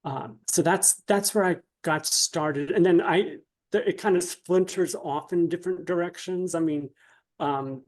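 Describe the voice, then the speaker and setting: A man with a slightly high-pitched voice talks as a guest in an interview. He explains his craft conversationally and haltingly, feeling his way through sentences and tagging them with "right?". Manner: halting, often checks with "right?".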